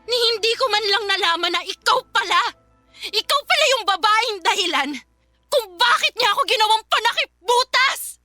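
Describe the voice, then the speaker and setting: A wounded, resentful sister in a Filipino radio drama. Her voice is high and sharp, accusing and full of feeling, with music underneath. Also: animated, normal speed, heavily acted. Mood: hurt, accusing. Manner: accusing, emotional delivery. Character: hurt, bitter younger woman confronting her sister. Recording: music behind the voice.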